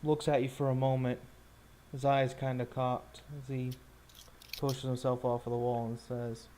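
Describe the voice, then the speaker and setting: Male game master, mid-pitched, unhurried and halting, describing scenes off the cuff. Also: little pitch movement, warm tone, a little hiss or hum. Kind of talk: improvised narration of a tabletop roleplaying game.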